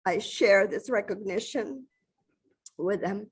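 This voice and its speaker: A middle-aged woman from the Philippines giving a formal, measured acceptance speech with occasional restarts. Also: mid-range voice, husky. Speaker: award recipient giving a speech.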